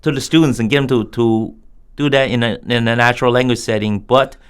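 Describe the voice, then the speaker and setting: A man's voice: medium pitch, steady tone, a little fast, clear, resonant tone. A man in his fifties from Texas with a Texas accent, a guest telling earnest stories from his past in a casual interview. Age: 50s. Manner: reminiscing, earnest storytelling.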